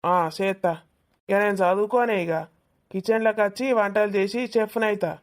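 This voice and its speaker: A cheeky young village man from a cartoon comedy, voiced by a woman, grumbling and evasive. The voice sits at a middle pitch for a woman and has a casual Telangana accent. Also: fairly even intonation, normal speed, lightly acted.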